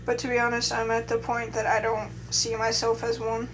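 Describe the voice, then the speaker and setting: A woman's voice: fairly high pitch, monotone, a little fast, fairly bright tone, a little hiss or hum, rough recording. A young adult woman vlogger in casual chat, talking in a hesitant, rambling way with many restarts.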